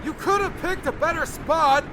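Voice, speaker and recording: A man voicing a desperate adventurer in an audiobook, shouting with strain. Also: very high voice, normal speed, background noise, pitched above the performer's own voice. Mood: urgent shouting. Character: desperate young male adventurer shouting against wind. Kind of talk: audiobook character acting.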